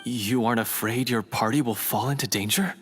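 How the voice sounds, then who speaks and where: A man's voice: medium pitch, fairly quick, fairly bright tone, lightly acted. An actor playing a guilt-ridden, self-doubting young male hunter in a video game, with an earnest, hesitant delivery. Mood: unsure. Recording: music clearly behind the voice.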